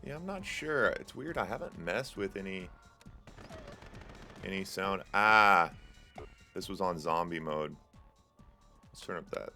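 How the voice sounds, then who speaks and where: Deep-voiced man casting a strategy game. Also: expressive, measured pace, long pauses, bright, forceful tone, soft background music. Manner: casual and chatty, many fillers, jokes with chat. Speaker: tournament host and caster. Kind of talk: live esports play-by-play commentary.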